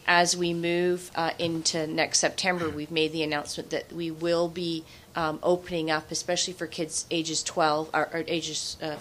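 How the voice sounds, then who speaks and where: A woman's voice: sits low, flat delivery, normal speed, hardly any pauses, a bit bright, slight background noise. A woman in her forties answering on a discussion panel as a government representative, conversational, with many restarts and fillers, at a desk microphone in a conference room. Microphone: desk microphone on stand.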